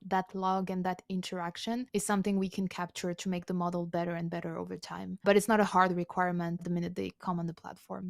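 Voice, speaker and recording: A woman with a slightly low voice answers interview questions as a startup founder. She explains things methodically, recorded dry with little room sound. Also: vocal fry, dry recording. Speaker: tech company co-founder, interview guest.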